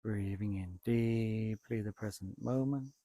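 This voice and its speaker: A man with a lowish, creaky voice guides a meditation in a calm, unhurried way. He speaks very slowly, leaving space between short phrases, and the sound is close and dry. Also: dry recording. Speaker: meditation teacher, Buddhist monk.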